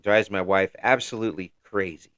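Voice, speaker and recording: A man in his fifties hosting a casual chat interview, talking in a halting, rambling way with repeated words. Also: fairly low pitch, a bit bright, no echo, muffled recording. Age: 50s. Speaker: interview show host.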